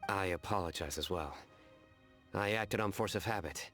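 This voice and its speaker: A man voicing a stern but courteous traveller, formal and deliberate, in a video game. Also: deep voice, fairly bright tone, soft background music. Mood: formal, composed. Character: stern, courteous male traveller, solemn and watchful. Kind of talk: scripted game voice acting.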